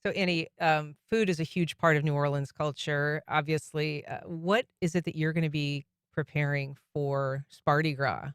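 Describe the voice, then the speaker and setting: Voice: medium pitch, fairly even intonation, normal speed, few pauses, smooth, dry recording, clean studio sound. A radio talk show host welcoming guests and asking friendly questions with casual fillers. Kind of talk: radio interview.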